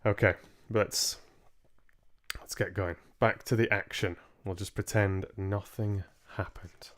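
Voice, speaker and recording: A young man hosts a reading stream in a casual, conversational way. His voice sits fairly low. He takes his time, speaking very slowly from a room at home. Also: fairly even intonation. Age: 20s. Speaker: live-stream book reader and host. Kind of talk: casual livestream chat and reading aloud. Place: small home room, indoors.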